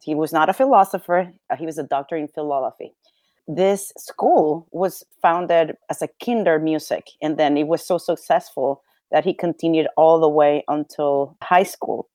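A woman from Venezuela, talking as a guest in a casual interview, animated and quick with asides. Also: low voice, no echo. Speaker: guest violist.

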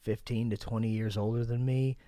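A forty-year-old man chatting casually on a podcast, laid-back and laughing often as he tells drawn-out stories. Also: mid-range voice, level tone, warm tone. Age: 40s. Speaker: podcast guest.

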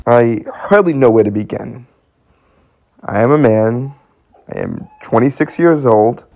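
A man's voice: medium pitch, measured pace, dry recording, highs cut off, natural voice. A man in a radio play acting as a hesitant, earnest and bewildered man of twenty-six.

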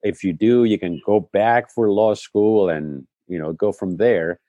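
A low, mellow-voiced middle-aged man from Spain, a native Spanish speaker, telling his story in an easygoing interview. He circles back and restarts phrases as he thinks. The sound is dry and close. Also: unhurried, no room sound. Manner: reflective storytelling with frequent restarts. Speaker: head of school, guest. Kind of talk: conversational interview.

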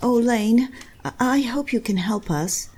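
An anxious pet owner speaks in a husky, dark-toned female voice. She stammers fretfully and defensively, her pitch very lively, in audio drama style. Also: unhurried. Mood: worried.

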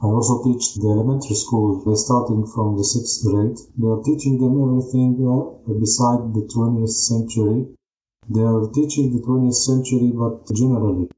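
Male history teacher, Palestinian Arabic accent, deliberate interview delivery, dark muted tone, roomy echo. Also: mid-range voice, little pitch movement. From Jaffa. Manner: deliberate, phrase by phrase, non-native English phrasing. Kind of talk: interview answers.

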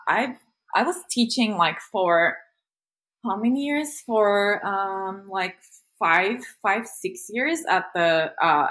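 A woman's voice: mid-range voice, fairly bright tone. A woman from Turkey, a native Turkish speaker, talking as a guest in a casual interview, hesitant and full of fillers. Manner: hesitant, many fillers, laughs easily. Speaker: guest, content creator.

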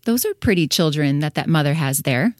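A woman's voice: sits low, animated, a little fast, no room sound, clean studio sound. A woman playing a grand, haughty old duck in a storybook dramatization, blunt and imperious in her manner. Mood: haughty, condescending. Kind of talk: full cast storybook dramatization.

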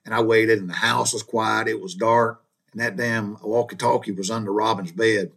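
A man's voice: on the low side, steady tone, moderate pace, creaky, fairly bright tone. A middle-aged man, hosting a podcast and telling childhood stories in a rambling, folksy way with chuckles and mild cursing. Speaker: podcast host. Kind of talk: casual storytelling monologue.

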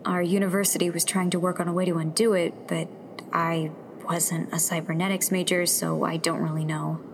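A woman playing a thoughtful, self-doubting young rebel in a sci-fi radio drama, with a soft-spoken, hesitant manner. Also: fairly low pitch, level tone, normal speed, noisy background, close to an everyday voice. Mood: uneasy, explaining.

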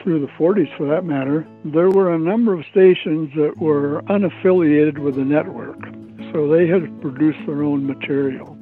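A man's voice: a little high, moderate pace. A man, an old-time radio expert explaining things informally as an interviewee. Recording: thin tinny phone line, faint music.

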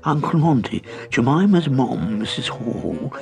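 A male reader plays a cheeky teenage boy, a bit higher than his own voice. The delivery is lively and teasing, and the pitch moves freely with some gravel in it. The style is that of an audiobook character. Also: a little slow, faint music behind. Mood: urgent. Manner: teasing, excitable.

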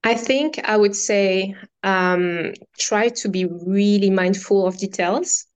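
A woman's voice: mid-range voice, takes it slowly, smooth. A woman from France with a French accent, a guest on a podcast, answering earnestly with fillers and repeated phrases. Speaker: podcast guest, brokerage founder. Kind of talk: casual interview answers.

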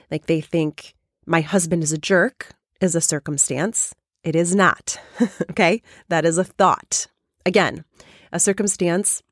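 A woman life coach hosting a podcast and explaining a method step by step, with a hoarse, raspy voice from a cold. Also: lowish voice, no echo, studio quality. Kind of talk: casual coaching talk.

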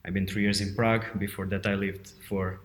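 A man speaks as an interview guest, in a conversational way. His voice sits low, and the room around him adds a clear echo. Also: flat delivery, fairly quick. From Portugal. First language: Portuguese. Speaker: guest, head of quality assurance. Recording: roomy, echoey sound.

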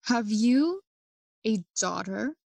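Sardonic, half-mad young prince, deliberate slow pacing, dry close recording, classical radio play. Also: female voice, a little high, animated, creaky, darkish tone, no echo, heavily acted. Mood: mocking, cryptic. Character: mocking young prince feigning madness. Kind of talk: Shakespearean acting in audio play.

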